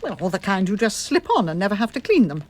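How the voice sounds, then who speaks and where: Brisk, animated English lady. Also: medium pitch. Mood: fussing, teasing. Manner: brisk, bossy, teasing, inquisitive. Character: brisk, nosy, outspoken middle-aged English lady. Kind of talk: radio drama acting.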